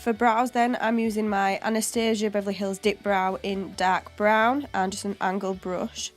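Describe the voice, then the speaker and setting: A woman's voice: medium pitch, little pitch movement, normal speed. Young girl w a cold, chesty voice, chatty makeup voiceover, faint music behind. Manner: casual, chatty, step-by-step explaining. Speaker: beauty vlogger. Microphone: home voiceover mic.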